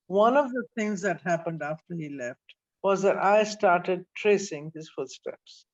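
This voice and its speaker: A woman's voice: on the low side, animated, dull audio. Older woman telling her story. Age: 70s. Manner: reflective storytelling, many pauses and restarts. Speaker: author. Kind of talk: interview talk about her book.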